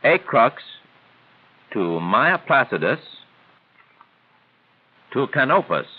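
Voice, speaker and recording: A man reads scripted 1940s training film narration in a measured, formal, instructional way. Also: mid-range voice, sing-song, husky. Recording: tinny band-limited 1940s film soundtrack.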